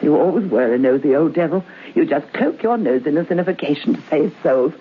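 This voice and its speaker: A woman's voice: sits low, expressive, fairly quick. A woman playing a chatty, cheeky working-class landlady in an old-time radio drama, nagging and garrulous. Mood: scolding, teasing. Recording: tinny, noisy old radio recording.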